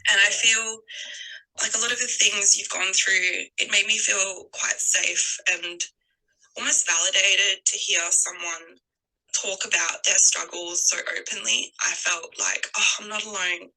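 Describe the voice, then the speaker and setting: A woman in her twenties talking unscripted and from the heart on a call, earnest and effusive, with halting, emphatic pauses. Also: mid-range voice, steady tone, gravelly, pushed and bright, low-quality recording. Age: 20s. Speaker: guest on a call.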